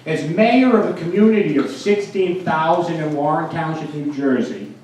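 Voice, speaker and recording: A man's voice: fairly high pitch, moderate pace, darkish tone. A man in his sixties with a Boston accent gives an informal, emphatic public speech in a plain-spoken way. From United States. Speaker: guest speaker, fire protection engineer and town mayor. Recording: very echoey, distant microphone, faint noise.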